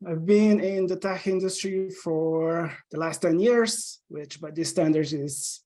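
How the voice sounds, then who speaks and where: Slovenian-accented male guest, 30s, eager, a little high-pitched, lots of ums. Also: measured pace, mellow. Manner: eager, with frequent ums and uhs. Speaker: podcast guest. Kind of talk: casual interview chat.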